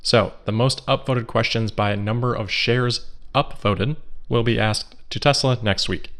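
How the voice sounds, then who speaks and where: A man hosting a solo investor news podcast, giving scripted commentary in a measured, analytical, explanatory way. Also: mid-range voice, a bit bright.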